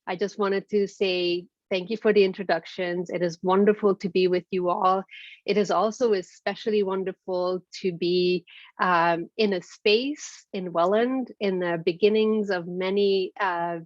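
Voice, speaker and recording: A woman's voice: medium pitch, steady tone, a little slow, no echo. A woman from Transylvania whose first language is Hungarian, giving an earnest prepared speech as a guest speaker, with many fillers and restarts. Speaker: executive director, guest speaker. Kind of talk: prepared speech over a video call. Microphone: video call. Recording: dull lo-fi video call audio.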